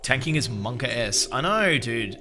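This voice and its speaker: A man live-streaming a game and chatting to viewers in an excitable, swearing way, recovering from a lost voice. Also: mid-range voice, moderate pace, background noise. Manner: excitable, swears, shouts out chat members. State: recovering from losing his voice. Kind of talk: casual gaming stream chat.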